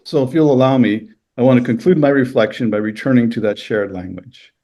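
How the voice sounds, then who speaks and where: A man whose first language is Low German, a university lecturer reading a prepared reflection in a measured, formal, scholarly way. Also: mellow. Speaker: university lecturer, panel speaker. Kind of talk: prepared reflection read aloud at a book launch.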